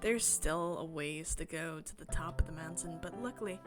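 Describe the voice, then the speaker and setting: A woman game streamer expressively reading a visual novel aloud, breaking into excited, chatty asides. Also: sits low, normal speed. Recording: music behind the voice. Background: game music.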